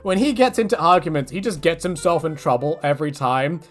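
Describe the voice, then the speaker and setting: A man's voice: on the high side, fairly quick, soft background music. A man casually answering viewer questions in a rambling way, with many fillers and restarts.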